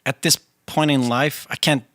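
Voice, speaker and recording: Bright-voiced Swedish man chatting. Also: mid-range voice, fairly even intonation, moderate pace, dry recording, clean studio sound. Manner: chatty, restarts often, quotes himself. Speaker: band singer, interview guest. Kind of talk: casual interview conversation. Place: studio.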